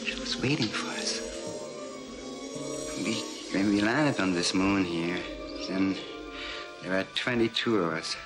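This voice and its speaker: An actor playing a haunted, dying expedition survivor in a horror film, with a weak, breathy, halting voice. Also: male voice, low voice. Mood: haunted dread. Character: dying haunted male expedition survivor warning of a lurking killer. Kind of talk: science fiction horror film acting. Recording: film audio with loud music.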